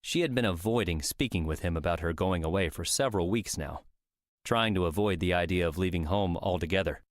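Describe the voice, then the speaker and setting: A man's voice: low-pitched, fairly quick. A man reading scripted story narration for an audiobook.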